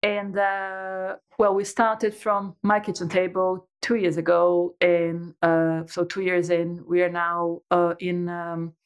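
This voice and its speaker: A woman's voice: on the low side, monotone, slow pace, few pauses, clear, resonant tone. A woman, chatting as an interview guest and telling her story with lots of restarts and fillers. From Brazil. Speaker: guest entrepreneur. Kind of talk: casual interview chat.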